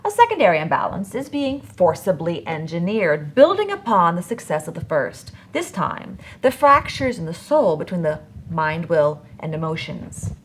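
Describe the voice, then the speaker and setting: A woman's voice: on the low side, sing-song, moderate pace, hardly any pauses, slight background noise. A woman hosting a podcast, delivering a scripted dramatic monologue in a theatrical, sardonic, emphatic manner. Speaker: podcast host. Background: music bed.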